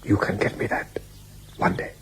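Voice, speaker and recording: An actor playing a fervent, intense German political demagogue in a radio drama, speaking formally with deliberate emphasis. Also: male voice, a bit bright, slight background noise, lightly acted, rough recording. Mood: urgent, determined.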